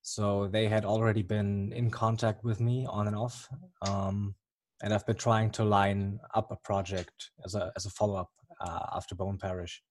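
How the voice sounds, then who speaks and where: A man's voice: on the low side, little pitch movement, moderate pace, darkish tone. A young man from Germany with a German accent, a comic artist answering interview questions in a hesitant way, with many ums and restarts.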